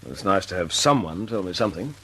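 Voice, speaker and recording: A brisk young squadron leader. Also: male voice, low voice, expressive, a bit bright, breathy edge, exaggerated voice. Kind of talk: 1940s radio acting.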